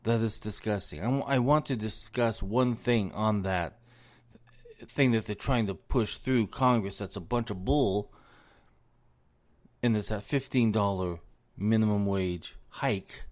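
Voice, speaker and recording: A man's voice: fairly low pitch, measured pace. A man hosting a solo podcast, losing his voice, talking in an indignant tone and repeating words as he rants. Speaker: podcast host.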